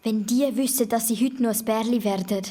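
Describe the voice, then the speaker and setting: A woman voicing an excited, scheming young twin girl in a Swiss German children's radio play. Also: on the high side, fairly quick, close to an everyday voice. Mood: gleeful. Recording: music behind the voice.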